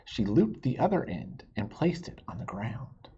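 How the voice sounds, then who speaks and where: A husky-voiced man reads a picture book aloud to children, slow and unhurried, like a teacher. His pitch swings widely as he stops to ask the listeners questions. The recording is dull and lo-fi. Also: very animated, dark tone. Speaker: read-aloud storyteller.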